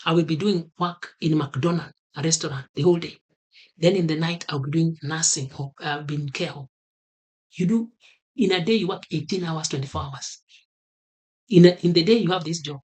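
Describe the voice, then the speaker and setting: A man's voice: fairly high pitch, moderate pace, darkish tone. A man from Kenya with a Kenyan accent, talking earnestly to camera and repeating his key advice for emphasis. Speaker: vlogger. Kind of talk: motivational talk to camera.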